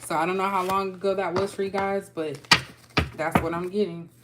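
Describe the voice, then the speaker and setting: A woman's voice: lowish voice, steady tone, fairly quick, heavy background noise. A young woman with a Texas accent giving a casual, chatty tarot reading and talking loosely to her viewers. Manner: chatty, loose, folksy with y'all. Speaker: tarot reader.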